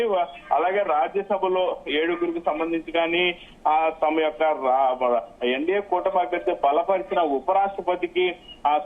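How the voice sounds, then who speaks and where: A man giving an unscripted live political news report, in halting, repetitive phrasing. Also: on the high side. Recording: phone-like, thin narrowband line.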